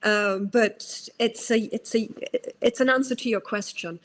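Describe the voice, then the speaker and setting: A woman's voice: on the high side, fairly even intonation, unhurried, fairly bright tone, a little hiss or hum. A Norwegian woman speaking English with a British-tinged accent, a psychologist giving long, thoughtful interview answers with many fillers and restarts. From Norway. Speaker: guest psychologist.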